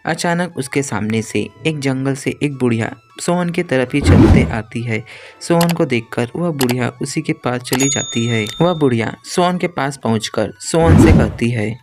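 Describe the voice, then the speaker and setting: A man's voice: mid-range voice, moderate pace, hardly any pauses, music in the background. A man narrating a scripted children's moral story.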